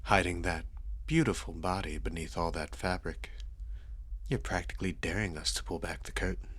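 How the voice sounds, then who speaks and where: A courtly, predatory male vampire, acted by a man, speaks very low and husky, close and dry. His ASMR roleplay manner is suave and teasing, with formal politeness laid over menace. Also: normal speed, a bit bright, dry recording. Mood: teasing, sinister. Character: sadistic, seductive, courtly male vampire lord. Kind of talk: ASMR roleplay, slow intimate dramatic delivery.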